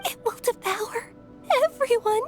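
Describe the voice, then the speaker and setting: A terrified, hopeless video game survivor speaks in a very high, tearful voice. The delivery is slow and broken by crying, full of despair, with faint music underneath. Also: female voice. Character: terrified, despairing female survivor of an abyss attack.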